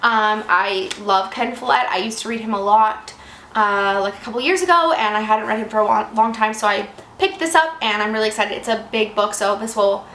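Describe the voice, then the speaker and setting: A woman in her twenties with a slightly raspy voice from a cold, chatting casually and rambling a little. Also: medium pitch, brisk, a bit bright. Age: 20s. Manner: chatty, rambling, self-deprecating. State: fighting off a cold. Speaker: vlogger. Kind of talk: casual chat. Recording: echoey room with faint noise.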